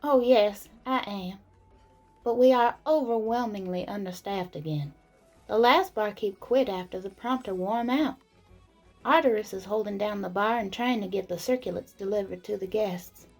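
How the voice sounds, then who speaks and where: A woman's voice: mid-range voice, normal speed, close to an everyday voice, low-quality recording. A woman playing a busy, polite and chatty tavern serving woman in a fantasy audio drama. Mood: polite, harried. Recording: background music under the voice.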